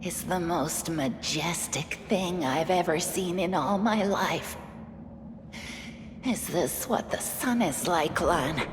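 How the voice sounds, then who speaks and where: An actress playing a proud, fierce mongrel warrior woman in a video game, intense and deliberate, echoing over music. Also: on the low side, background noise. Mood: awestruck. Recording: echoey hall reverb over music.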